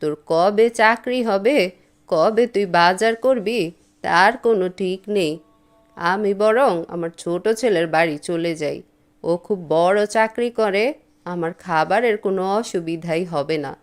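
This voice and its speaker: A woman voicing a stubborn, grumbling elderly mother-in-law in a cartoon, with drawn-out complaining delivery. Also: on the low side, smooth. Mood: indignant. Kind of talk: Bengali cartoon voice acting.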